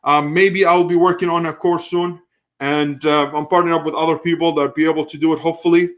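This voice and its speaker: A man's voice: on the high side, little pitch movement, quick pace, a bit bright. A man in his thirties, originally from Kuwait, hosting a live question-and-answer stream and talking loosely, with frequent restarts as he thinks aloud. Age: 30s. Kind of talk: casual live stream Q&A, reading viewer comments.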